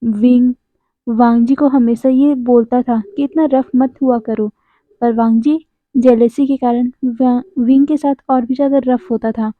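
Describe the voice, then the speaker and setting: A hoarse woman with a sore throat tells a story to her viewers in a chatty, casual way, often turning aside to speak to them directly. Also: fairly high pitch, fairly even intonation, measured pace, no echo. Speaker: YouTuber retelling fan fiction. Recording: tinny phone-like dry recording.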